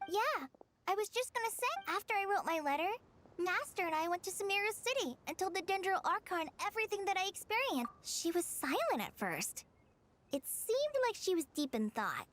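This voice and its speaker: A woman's voice: very high voice, big pitch swings, normal speed, a bit bright, a little hiss or hum, exaggerated voice. A woman playing an earnest, timid young apprentice ranger girl in an anime-style video game. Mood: worried. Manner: earnest, polite.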